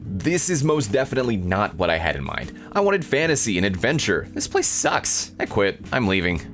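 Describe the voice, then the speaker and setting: A man's voice: on the low side, big pitch swings, normal speed, fairly bright tone, soft background music. A man voicing a sardonic, disappointed young male protagonist, narrating a visual novel in a dry, incredulous way. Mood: indignant.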